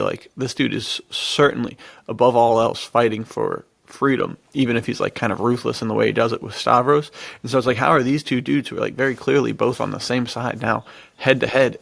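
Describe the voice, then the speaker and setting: A man's voice: medium pitch, gravelly, a bit bright, no room sound. A man co-hosting a podcast, chatting casually and enthusiastically about stories in a slangy way. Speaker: podcast co-host. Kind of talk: casual film and book discussion.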